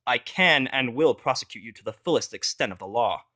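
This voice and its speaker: A man's voice: mid-range voice, animated, fairly quick, bright, forceful tone. A man voicing a wealthy male pony businessman who speaks in a demanding, formal, threatening way in an audio story. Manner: confrontational, formal, menacing.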